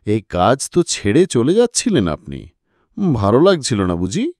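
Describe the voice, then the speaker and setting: Audiobook private detective, voiced by a male reader: composed, inquisitive, probing questions with rising, mobile pitch, dry close sound. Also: big pitch swings, moderate pace, a little mellow, dry recording. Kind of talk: dramatised audiobook dialogue.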